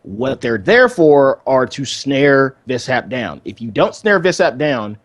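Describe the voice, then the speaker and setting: A man's voice: medium pitch, sing-song, unhurried, dry recording. A man chatting casually as the co-host of a gaming podcast.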